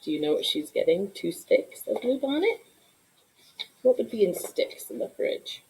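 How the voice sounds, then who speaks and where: A woman reading a children's story aloud in an expressive narration, with asides to young listeners. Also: mid-range voice, normal speed, low-quality recording. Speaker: storybook reader.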